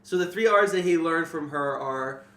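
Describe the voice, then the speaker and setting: A man's voice: on the high side, moderate pace, bright, forceful tone. A man co-hosting a casual, joking book discussion, often repeating words for emphasis. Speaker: podcast co-host. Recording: echoey room.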